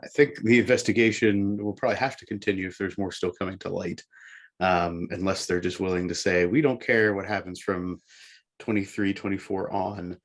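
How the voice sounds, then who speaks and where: A man co-hosting a casual sports discussion, thinking aloud in a measured way. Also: low voice, little pitch movement. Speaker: sports show co-host.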